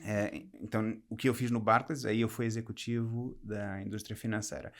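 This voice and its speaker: A man raised in Portugal, with a Portuguese accent softened by years in Brazil, talks reflectively as a podcast guest, explaining and rephrasing as he goes. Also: lowish voice, level tone, normal speed. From Portugal, raised there from age seven. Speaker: podcast guest, business executive. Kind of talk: conversational interview, personal career account.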